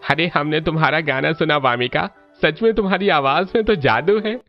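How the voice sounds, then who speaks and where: A man voicing an emotional middle-aged family patriarch in a cartoon, expressive and emphatic. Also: fairly high pitch, moderate pace, lo-fi sound, soft background music, close to an everyday voice. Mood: delighted. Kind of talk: Hindi family cartoon dubbing.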